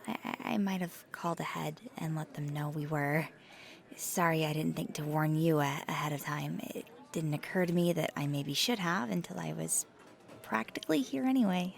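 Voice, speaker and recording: A woman playing a nervous, earnest paranormal investigator in an ASMR audio roleplay, speaking softly and haltingly with thoughtful, breathy hesitations. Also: sits low. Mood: nervous, apologetic.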